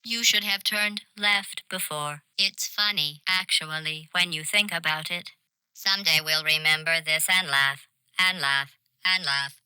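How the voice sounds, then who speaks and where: A woman voicing a passive-aggressive female computer intelligence for a video game, deadpan and mock-polite, with synthetic robotic processing. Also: sits low, measured pace, clean tone, no echo, heavily acted, poor audio. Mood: mock-friendly. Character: menacing passive-aggressive female AI running a test facility. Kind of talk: scripted video game character dialogue.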